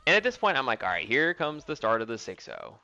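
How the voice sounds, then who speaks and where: A man's voice: medium pitch, wildly swooping pitch, fast talker, vocal fry, sharp tone, muffled recording, faint music behind. A young man casually commenting on replays of his own fighting game match, thinking aloud with lots of ums and likes.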